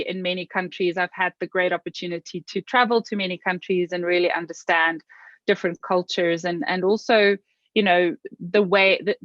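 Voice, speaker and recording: A woman's voice: fairly low pitch, no echo. Earnest South African woman, muffled. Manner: earnest, reflective, many ums and restarts. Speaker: guest. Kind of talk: interview conversation.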